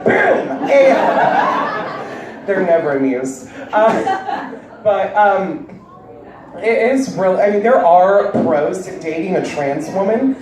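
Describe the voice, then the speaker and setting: A woman with a deep voice doing a stand-up comedy set with a wry, deadpan delivery, heard on stage before a live audience. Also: slow pace, pauses often. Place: large indoor venue with live audience. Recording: echoey hall recorded from far off, loud crowd. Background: audience cheering and laughing.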